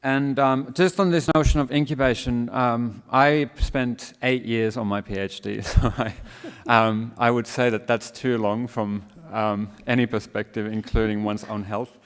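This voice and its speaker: A man from Finland with a Finnish accent mixed with Australian, giving a relaxed panel talk with many fillers. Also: mid-range voice, measured pace, clean tone. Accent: Finnish with Australian mix. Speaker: fashion design academic, panel speaker. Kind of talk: panel presentation. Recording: reverberant hall.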